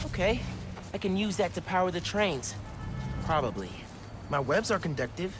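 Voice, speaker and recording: Brief: an eager teen boy superhero in a game, high light voice, game music behind. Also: expressive, moderate pace. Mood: focused. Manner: casual, self-talking, eager. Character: upbeat teenage boy superhero, quick-witted. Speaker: video game protagonist. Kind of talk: video game cutscene acting.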